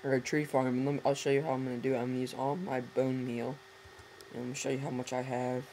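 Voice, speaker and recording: A hoarse teenage boy chatting casually to viewers over gameplay, rambling and correcting himself as he goes. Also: medium pitch, little pitch movement, quick pace, darkish tone. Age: teens. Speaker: gameplay commentator. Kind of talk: casual let's-play commentary. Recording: noisy home recording with typing.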